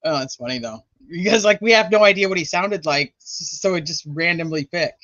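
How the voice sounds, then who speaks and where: A man co-hosting a casual live chat, talking in an animated, rambling way and laughing often. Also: on the high side, a little fast, dry recording, lo-fi sound. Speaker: co-host commenting on trial.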